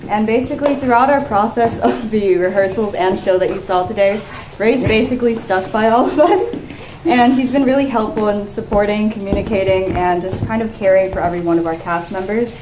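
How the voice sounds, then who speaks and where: A woman's voice: medium pitch, brisk. A young woman giving an informal thank-you speech in an echoey room with background noise. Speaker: student cast member giving thanks.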